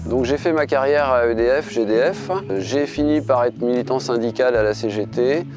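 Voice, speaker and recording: A man in his fifties making a steady, matter-of-fact campaign statement. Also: mid-range voice, normal speed. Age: 50s. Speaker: retired trade unionist, election candidate. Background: music.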